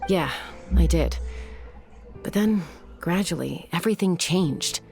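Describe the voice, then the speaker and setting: An actress voicing an earnest young woman raised among saurians, speaking in a soft, measured, reflective way. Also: on the low side, vocal fry, soft background music. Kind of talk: game voice acting.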